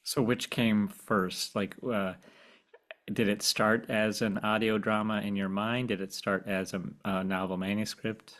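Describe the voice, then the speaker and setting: A man's voice: lowish voice, little pitch movement, unhurried, a bit bright. A man hosting a podcast, interviewing a guest in a conversational style.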